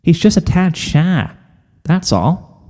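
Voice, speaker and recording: A man voicing an excitable, curious young country girl pony, eager and gushing, in a storybook reading. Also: high voice, slow pace, big-room sound, voice pushed up, heavily acted. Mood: cheerful.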